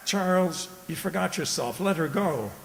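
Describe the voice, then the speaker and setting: An actor as a genteel gentleman of a radio play, condescending and quietly menacing. His voice is fairly high and precise, set in a reverberant hall with faint hiss. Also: lively intonation, moderate pace, gravelly. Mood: stern warning. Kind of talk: theatrical radio drama acting. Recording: echoey hall, hissy background noise.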